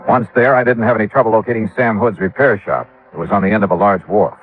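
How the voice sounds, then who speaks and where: An actor playing a courteous hard-boiled insurance investigator, speaking in a measured, polite way in an old radio detective drama. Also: male voice, lowish voice, steady tone, soft background music, natural voice. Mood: narrating, suspenseful. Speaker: lead investigator and narrator. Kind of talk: 1950s radio detective acting. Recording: tinny vintage radio, band-limited.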